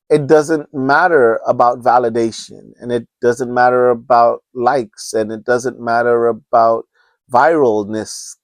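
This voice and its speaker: A man's voice: medium pitch, dry recording. A man, speaking unscripted on his own podcast in an earnest, rambling way full of restarts and urgent appeals. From Trinidad and Tobago. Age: 50s. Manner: earnest, rambling, many restarts, preacher-like urging. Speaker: podcast host. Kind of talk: unscripted solo podcast talk.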